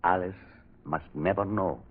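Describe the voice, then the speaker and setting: A man's voice: deep voice, a little slow, breathy edge. A man acting a troubled, protective man accused of a crime and guarding a secret, in a radio drama. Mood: resigned. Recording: dull lo-fi radio sound.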